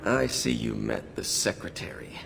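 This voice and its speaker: A man voicing a weary, grateful alien freed from captivity in a video game, gravelly and subdued. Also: mid-range voice, wildly swooping pitch, normal speed, over music, exaggerated voice. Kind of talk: scripted video game voice acting.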